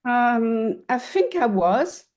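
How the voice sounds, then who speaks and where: Halting older woman, mellow interview voice. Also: fairly high pitch, very animated. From Switzerland and France. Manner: halting, searching for words. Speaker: artist guest being interviewed. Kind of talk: reflective casual interview answers.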